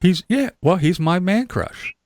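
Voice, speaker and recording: A man's voice: sits high, animated, unhurried. A middle-aged man hosting a casual interview, playful and joking. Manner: playful, joking, laughs easily. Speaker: podcast host.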